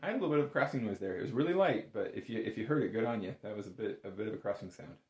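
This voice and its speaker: A man's voice: lowish voice, lively intonation, racing, a little mellow, a little hiss or hum. A man giving an informal, step-by-step music lesson in a patient, encouraging manner. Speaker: bagpipe instructor. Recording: roomy, echoey indoor sound.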